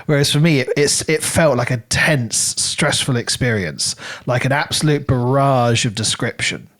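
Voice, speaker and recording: A man's voice: medium pitch, moderate pace, fairly bright tone. A man co-hosting a podcast, discussing a book in a casual, conversational way and repeating words as he searches for phrasing. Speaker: podcast co-host reviewing a book.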